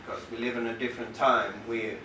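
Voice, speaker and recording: A man from western Norway with a Norwegian accent, talking to a concert audience from the stage in a halting, thoughtful way with many pauses and restarts. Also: medium pitch, level tone, sharp tone, a little hiss or hum. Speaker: musician introducing his work on stage. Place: concert club venue. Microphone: stage microphone through the house speakers, recorded from the audience. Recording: roomy venue sound recorded from far off.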